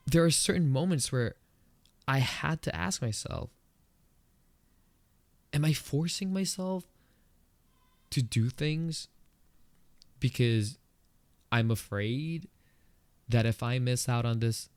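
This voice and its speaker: A man with a Filipino accent hosting his own podcast, talking through a personal topic in an earnest, conversational way and jumping up in pitch for emphasis. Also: clean tone, no room sound. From Philippines. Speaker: podcast host. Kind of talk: solo reflective podcast talk.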